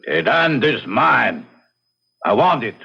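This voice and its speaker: An actor playing a huge, blunt, stubborn foreign settler in a frontier radio drama, speaking terse broken English. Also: male voice, medium pitch, big pitch swings, slow, gravelly, slightly rough, full character voice. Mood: curt, firm. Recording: dull lo-fi radio recording.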